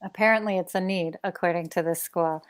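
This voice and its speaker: A woman's voice: on the low side, fairly even intonation, brisk, clear, resonant tone. A woman interviewer on a video call, conversationally putting questions to a guest. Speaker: interviewer relaying children's questions.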